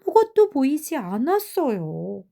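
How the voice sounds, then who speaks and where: An older woman narrating children's fairy tales in a gentle storytelling style. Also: sits high, huge pitch swings, unhurried, gravelly.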